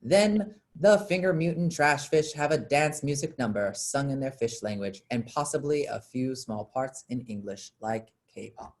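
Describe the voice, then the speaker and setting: Medium-pitched reader of stage directions. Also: animated, unhurried, few pauses. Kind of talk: scripted narration in a play reading.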